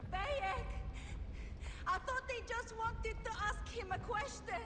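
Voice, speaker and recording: A woman's voice: very high voice, slow pace. A woman playing a distraught, remorseful villager in a video game cutscene, in an echoey, noisy space. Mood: anguished.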